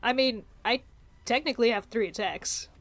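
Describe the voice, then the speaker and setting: A woman's voice: on the high side, normal speed, dry recording. A woman playing a tabletop roleplaying game, casually describing what her character does.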